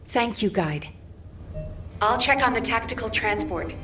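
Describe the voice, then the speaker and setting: A woman's voice: fairly high pitch, lively intonation, a little slow, a bit bright, faint music behind. A woman voicing an earnest, devoted young officer who speaks with emotion. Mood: determined. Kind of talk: game cutscene dialogue.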